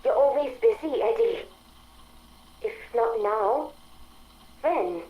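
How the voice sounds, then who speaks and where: A woman's voice: on the high side, animated, takes it slowly, warm tone, low-quality recording. An actress playing an articulate female artificial intelligence that longs for a body, speaking precisely and formally in video game audio logs. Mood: earnest, hopeful. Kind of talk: dramatic video game audio log acting.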